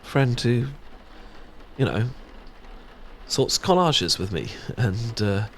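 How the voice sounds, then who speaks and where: Man acting a flustered, stammering British best friend, lively intonation. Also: mid-range voice, slow, a little hiss or hum, exaggerated voice. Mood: tender, bashful. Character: shy, sweet male best friend secretly in love, easily flustered. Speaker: audio roleplay performer. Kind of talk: romantic ASMR audio roleplay.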